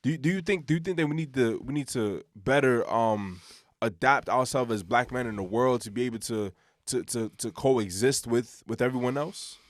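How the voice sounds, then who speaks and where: A deep-voiced man co-hosting a casual podcast chat, relaxed and repeating words as he searches for his thoughts. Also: normal speed, dry recording, studio quality. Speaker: podcast co-host. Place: hot room in a building.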